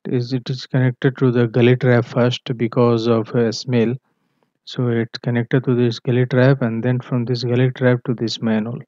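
A man tutoring on plumbing drawings, walking through a screen share in a halting way with many fillers and self-corrections. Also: mid-range voice, little pitch movement, dark tone, dry recording. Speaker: MEP engineering tutor. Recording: dull, dry, lo-fi voice-over.

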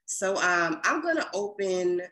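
A middle-aged woman poet reading poems and introducing them casually on a video call, in a measured, deliberate way. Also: medium pitch, sing-song, pushed and bright, low-quality recording. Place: small furnished room at home, indoors. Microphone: video call computer microphone.

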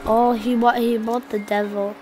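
High-voiced young girl gaming with a cold. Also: little pitch movement, moderate pace, darkish tone, a little hiss or hum. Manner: chatty, rambling, addresses viewers directly. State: slightly sick with a cold. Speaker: gaming channel co-host. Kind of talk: casual gameplay commentary. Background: game music.

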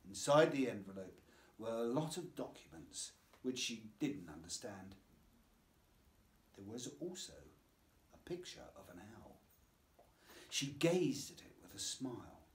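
A man narrating a children's story as a scripted reading, in a measured, expressive way. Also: mid-range voice. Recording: roomy, echoing voice.

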